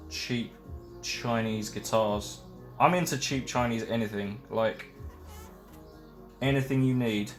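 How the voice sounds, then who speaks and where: A man chatting casually on a live stream while painting and building a case, rambling and self-deprecating as he works. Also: mid-range voice. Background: faint music.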